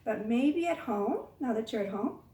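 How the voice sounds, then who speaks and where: A woman's voice: on the high side, lively intonation, normal speed, mellow. A woman teacher hosting storytime for young children, talking in a coaxing sing-song way and asking them questions. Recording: roomy echo, distant microphone.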